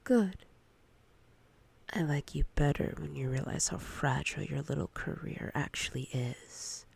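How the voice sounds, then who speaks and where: A woman voicing a domineering, predatory female boss in intimate roleplay ASMR, speaking in a breathy, often whispered voice with slow, commanding, teasing menace. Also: deep voice, no echo, exaggerated voice. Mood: cold, threatening.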